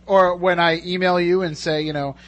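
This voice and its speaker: A man's voice: sits high, fairly even intonation, fairly bright tone. A man hosting a radio talk show, bantering casually and cracking jokes. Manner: joking, quick banter.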